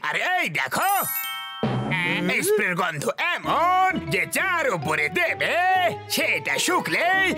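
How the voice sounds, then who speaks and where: High-pitched scheming cartoon villain boss. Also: male voice, wildly swooping pitch, takes it slowly, exaggerated voice. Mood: gloating. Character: scheming villain gangster boss, boastful, easily panicked. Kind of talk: exaggerated cartoon voice acting in Bengali dub. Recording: constant loud music behind.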